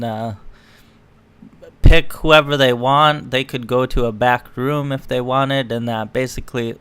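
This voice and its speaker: A man's voice: mid-range voice, a bit bright, clear, resonant tone. A man giving casual, opinionated reaction commentary in a mocking, sweary way. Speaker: online political commentator.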